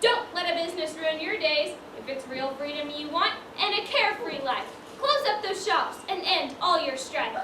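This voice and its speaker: A woman's voice: high voice, lively intonation, moderate pace, hardly any pauses. A woman on stage playing a prim, wistful widowed dress-shop owner, full of old-fashioned exclamations. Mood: grandly oratorical. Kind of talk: amateur stage acting, one-act school play. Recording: roomy stage, distant mic, clear noise.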